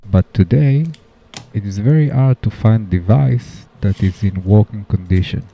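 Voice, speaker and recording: A man narrating a casual DIY tutorial voice-over, speaking haltingly in short phrases. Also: lowish voice, creaky. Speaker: DIY video maker. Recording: dull lo-fi sound with background noise.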